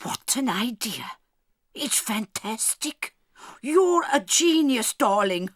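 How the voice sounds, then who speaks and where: A woman's voice: fairly high pitch, big pitch swings, slow pace, dry recording, studio quality, full character voice. A woman playing a doting, conspiratorial old grandmother, bold and sprightly, in an audio drama. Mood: excited, scheming.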